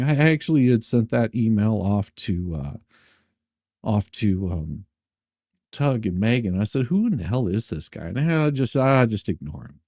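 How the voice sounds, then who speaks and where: A man hosts an informal livestream in a low, mellow voice. His tone is dark and a little husky, captured close and dry, with a sarcastic streak in how he talks and reads. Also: dry recording. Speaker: streamer, host of his own channel. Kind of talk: casual livestream chat and dramatic reading of letters.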